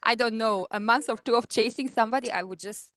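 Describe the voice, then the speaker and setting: A woman from Europe talks in a fairly high voice as an audience member in an online seminar. She is conversational and halting, reaching for the right word. The recording is dry and close. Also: fairly bright tone, no echo. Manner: halting, searches for words. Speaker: webinar participant asking a question. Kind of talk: hesitant conversational question.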